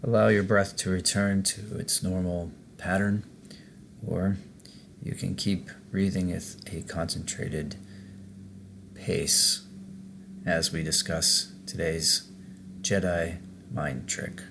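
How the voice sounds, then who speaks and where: Man, guided meditation host, very slow delivery, creaky low-end fry, measured pauses, faint noise. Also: little pitch movement. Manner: measured, pausing between short phrases.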